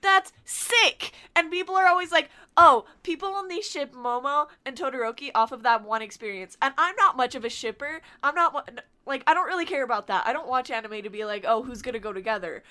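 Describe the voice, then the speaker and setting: Woman, high pitch, forceful bright tone, casual podcast rant, theatrical, profane. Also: animated, brisk, few pauses. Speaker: anime podcast participant. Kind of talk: casual animated ranting chat.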